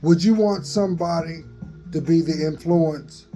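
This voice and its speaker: A man in his fifties with a dry voice, giving a slow, earnest motivational talk into a phone in a garage. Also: fairly high pitch, over music. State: dry voice, coughing. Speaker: vlogger. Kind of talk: motivational selfie vlog. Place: open garage, daytime. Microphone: phone at arm's length.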